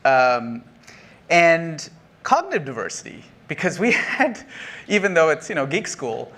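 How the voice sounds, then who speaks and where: A man's voice: fairly high pitch, very animated, unhurried, a bit bright. A middle-aged man from Sri Lanka speaks from a conference stage in a slightly raised voice. He talks in a loose, chatty way, full of ums, and laughs at his own jokes. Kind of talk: keynote talk to an audience.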